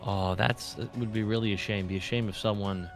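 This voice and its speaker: A man's voice: lowish voice, fairly even intonation, fairly quick. A man taking his turn as a player in a tabletop game, chatting casually and calling out his rolls. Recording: music playing behind the voice.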